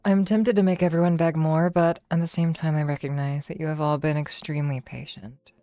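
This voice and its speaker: A woman streamer with a slightly hoarse voice, talking slowly and teasingly in a relaxed livestream chat. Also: deep voice, no room sound, faint music behind. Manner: slow, teasing, drawn-out praise.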